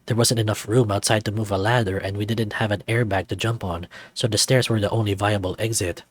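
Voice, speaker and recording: A man playing a caring young firefighter in a visual novel, speaking in an earnest, gentle, reflective way. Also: lowish voice, steady tone, brisk, no echo, close to an everyday voice. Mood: somber, reflective. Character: caring young male firefighter, steady and sincere.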